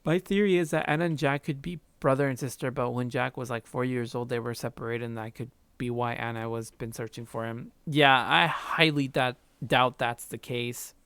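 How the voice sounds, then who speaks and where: A man's voice: medium pitch, fairly even intonation, normal speed, hardly any pauses, fairly bright tone, clear, resonant tone, no room sound. A man streaming a game, chatting casually with live let's-play commentary. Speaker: gaming streamer, host.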